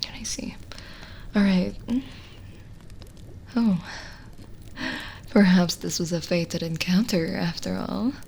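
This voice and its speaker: A woman playing a lonely, gentle witch in an ASMR roleplay, speaking softly and soothingly, often slipping into a whisper. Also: lowish voice, creaky, slight background noise. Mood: gentle, earnest. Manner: slow, hushed, soothing, with pauses. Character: lonely, gentle witch reading a guest's palm. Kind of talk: soft-spoken ASMR roleplay, partly whispered.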